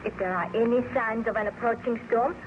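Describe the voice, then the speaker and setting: Actress as refined, anxious young-radio-era wife, muffled sound. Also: female voice, on the high side, fairly quick, close to an everyday voice. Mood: gentle instruction. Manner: refined, earnest, formal. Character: gentle, anxious baroness, devoted wife soothing her husband. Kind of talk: 1930s radio drama acting. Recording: muffled, noisy old-time radio recording.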